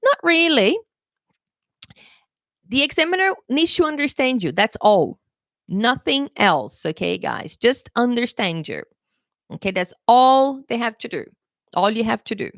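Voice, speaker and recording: A woman's voice: on the high side, very animated, a little slow, long pauses, a bit bright, no room sound, clean studio sound. A woman English teacher giving a live exam-prep lesson, chatty and encouraging, laughing often and checking in with her viewers. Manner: laughs often, playful pet phrases.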